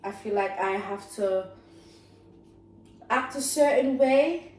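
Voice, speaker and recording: Young woman, very slow unhurried speech, soft and thoughtful, roomy echo, mic at a distance. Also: on the high side. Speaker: podcast co-host. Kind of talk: casual chat. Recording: echoey room, mic far off, faint noise.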